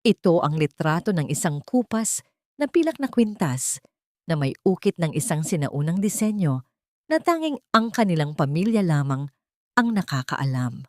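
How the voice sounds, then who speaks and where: A woman's voice: fairly low pitch, sing-song, moderate pace, darkish tone, no echo, clean studio sound. A woman with a Filipino accent reads a scripted dramatic story as a storyteller.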